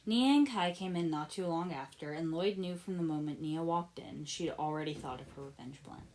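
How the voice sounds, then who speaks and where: A woman reading fan fiction aloud as an expressive story narrator. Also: low voice, moderate pace. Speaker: podfic reader.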